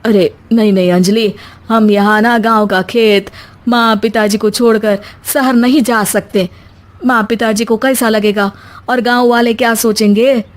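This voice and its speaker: A woman voicing a gentle young village husband, a farmer, speaking softly and placatingly in a cartoon story. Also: fairly high pitch, fairly even intonation, unhurried, close to an everyday voice. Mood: reluctant. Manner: soft, placating. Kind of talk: animated Hindi story dialogue.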